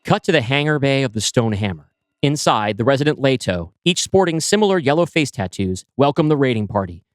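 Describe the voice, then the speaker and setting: A man co-hosting a podcast, walking his co-host through his own screenplay and reading scenes aloud, with an animated storyteller's delivery and frequent fillers. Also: mid-range voice, a little fast, dry recording, clean studio sound, faint music behind.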